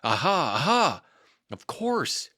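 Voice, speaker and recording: A man voicing a slow-witted, humble storybook bear who talks in a simple, earnest way. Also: fairly high pitch, very animated, bright, forceful tone, dry recording, clean studio sound, pitched above the performer's own voice, heavily acted. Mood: practicing.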